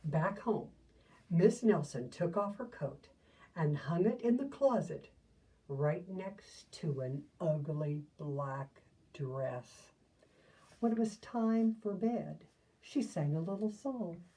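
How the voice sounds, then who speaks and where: A woman reading a children's picture book aloud, adding gentle asides to young listeners. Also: lowish voice, expressive, takes it slowly, distant mic, rough recording. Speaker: storybook reader.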